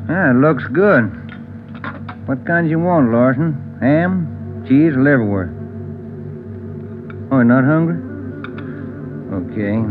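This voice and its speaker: An actor playing a steady, folksy police detective in a low-key conversational manner, in a 1940s radio crime drama. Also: male voice, slow, long pauses. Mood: easygoing. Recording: dull old radio recording with music.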